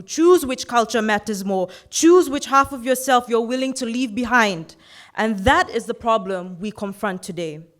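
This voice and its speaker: A woman in her twenties from Fiji giving an earnest, measured personal speech in a competition. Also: fairly high pitch. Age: 20s. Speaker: youth orator.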